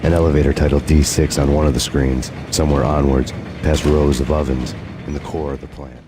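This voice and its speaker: An actor playing a brooding, haunted detective hero, narrating in a deadpan hardboiled noir style. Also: male voice, extremely low pitch, a little fast, noisy background. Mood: tense, brooding. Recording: music playing behind the voice.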